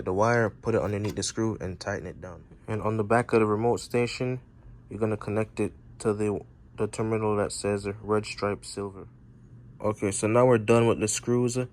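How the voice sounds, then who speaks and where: A man giving an informal, unscripted how-to tutorial, explaining step by step in a halting way. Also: on the low side, a little mellow. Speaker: presenter of a how-to repair video.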